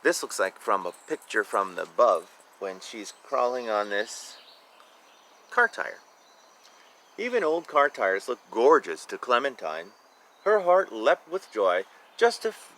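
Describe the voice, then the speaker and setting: Male storybook reader, bright voice, lively swings in pitch, informal asides, recorded outdoors with faint background noise. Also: slow pace. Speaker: reader of a children's picture book. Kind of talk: read-aloud storytelling with casual asides about the pictures.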